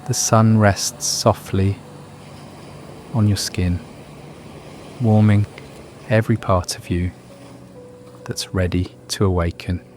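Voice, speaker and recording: A man narrating a guided meditation in a soothing, unhurried, measured manner. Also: deep voice, fairly even intonation, darkish tone, a little hiss or hum.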